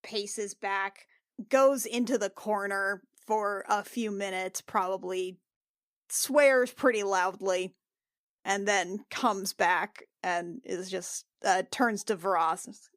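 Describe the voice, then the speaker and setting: A woman's voice: medium pitch, slow pace, dry recording, studio quality. A woman talking casually as a tabletop game player, thinking aloud with some hesitation. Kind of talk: casual roleplaying game talk.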